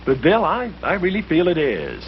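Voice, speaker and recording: Casting: American male priest, middle-aged, earnest persuader, expressive mid-pitched voice, faint hum on dull vintage soundtrack. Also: normal speed. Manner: earnest, rhetorical. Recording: muffled, humming old film soundtrack.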